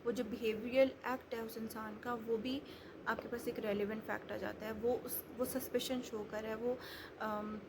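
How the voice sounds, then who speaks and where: A woman's voice: on the high side, level tone, moderate pace. A woman explaining law step by step, many hesitations and restarts. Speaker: law lecturer. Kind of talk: explanatory lecture. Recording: noisy background hiss.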